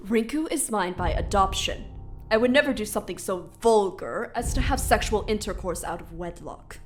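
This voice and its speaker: A woman playing a composed, haughty princess ruler in a theatrical comic dub, formal and sharp-tongued. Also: medium pitch, lively intonation, moderate pace, faint music behind, close to an everyday voice. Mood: curt, indignant. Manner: formal, biting delivery.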